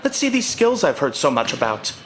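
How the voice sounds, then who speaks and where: An actor playing a cocky, eager young crew captain in a cartoon, bossy but earnest. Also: male voice, fairly high pitch, wildly swooping pitch, a little fast, a bit bright, reverberant, a little hiss or hum.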